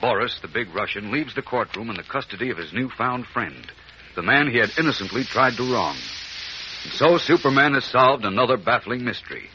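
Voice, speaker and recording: A man narrating a serial as announcer in dramatic 1940s radio style, with a husky edge. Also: mid-range voice, expressive, brisk, pushed and bright, slight background noise. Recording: muffled old radio recording.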